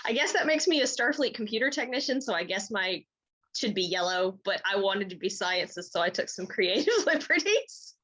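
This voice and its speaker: A woman's voice: medium pitch, lively intonation, moderate pace, fairly bright tone. A woman explaining herself in casual chat over a video call. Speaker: participant in an online costume party.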